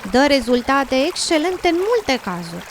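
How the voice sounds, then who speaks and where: A woman's voice: high-pitched, sing-song. A woman playing a friendly, talkative blue frog in an educational radio drama, chirpy and sympathetic in manner. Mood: helpful. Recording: noisy, with running water sounds behind.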